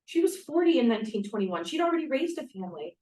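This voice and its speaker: A woman's voice: on the high side, very animated, quick pace, warm tone. A woman acting as an earnest young 1940s bride-to-be who longs for home and family, in a script read-through. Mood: wistful. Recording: distant, echoey room microphone.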